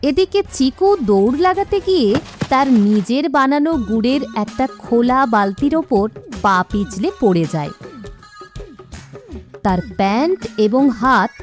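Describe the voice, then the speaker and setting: A woman's voice: on the high side, a little mellow. A woman narrating a scripted children's cartoon story.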